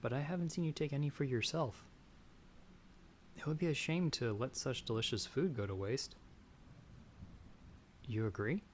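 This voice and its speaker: A man's voice: medium pitch, normal speed, pauses often, a little hiss or hum, lightly acted. A man playing a humble young farmer's son in an audio roleplay, speaking hesitantly with courteous, old-fashioned formal phrasing. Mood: curious, polite. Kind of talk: ASMR audio roleplay acting.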